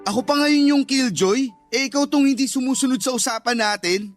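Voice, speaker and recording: A man's voice: extremely high pitch, a little fast. A man playing an aggrieved, stubborn Filipino father in a radio romance drama, insistent and conversational. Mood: indignant. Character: aggrieved, stubborn divorced father standing his ground. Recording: music under the voice.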